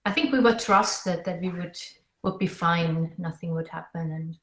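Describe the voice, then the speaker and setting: A woman's voice: on the low side, normal speed, reverberant. A woman with a Swiss accent speaking as an interview guest, thoughtful and a bit hesitant, often restarting her sentences. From Switzerland. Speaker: podcast guest. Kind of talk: interview conversation.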